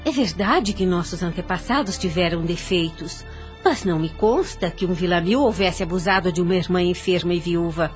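A woman's voice: lowish voice, normal speed, faint music behind, natural voice. An actress playing a devout, dignified young widowed mother in a radio soap opera, speaking formal Portuguese with a Brazilian accent in an earnest way. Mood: pleading, reproachful. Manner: formal, earnest, literary diction.

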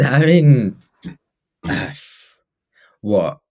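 A man's voice: mid-range voice, sing-song, drawn-out words, a little mellow. A man co-hosting a podcast in casual chat, rambling and correcting himself as he talks. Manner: rambling, with many "like" fillers and self-corrections. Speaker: podcast co-host.

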